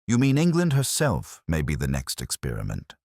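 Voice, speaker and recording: Male reader as pleading aristocratic lady in a storybook mystery, formal and earnest, very low register. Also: wildly swooping pitch, normal speed, creaky, a little mellow, no echo, clean studio sound, exaggerated voice. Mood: anxious, pleading. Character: frightened, pleading aristocratic countess, a woman. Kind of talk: dramatic audiobook dialogue.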